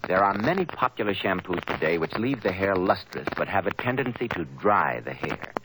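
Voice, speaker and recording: An American man announcing in polished 1940s radio style, with deliberate emphasis, on an old broadcast recording. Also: deep voice, big pitch swings, heavy background noise.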